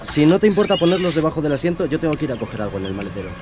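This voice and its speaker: Charming, lively young male student. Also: a little high, racing. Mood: casually luring. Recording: noisy outdoor street ambience.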